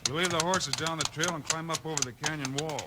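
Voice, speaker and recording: Steady western lawman, played by a man, heavy noise. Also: a little high, quick pace, close to an everyday voice. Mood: firm. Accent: American. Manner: plain-spoken, commanding. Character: steady, shrewd Old West lawman hero. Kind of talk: 1950s radio western acting. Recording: very noisy 1950s radio recording.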